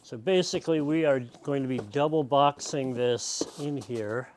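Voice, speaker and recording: A man's voice: medium pitch, moderate pace, darkish tone, slight background noise. A man giving a casual, patient step-by-step how-to demonstration, explaining as he goes. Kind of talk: casual step-by-step tutorial talk.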